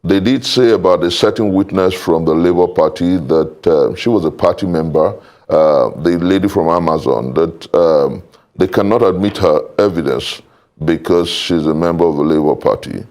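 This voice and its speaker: A Nigerian man speaking as a panel guest, giving political commentary in a deliberate, rhetorical way with formal wording. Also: low-pitched, darkish tone.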